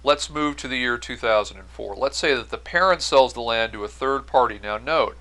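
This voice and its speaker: A man's voice: medium pitch, brisk, bright, forceful tone. A man giving an explanatory accounting-style lecture as an instructor, going step by step and stressing key phrases. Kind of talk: explanatory lecture over on-screen notes.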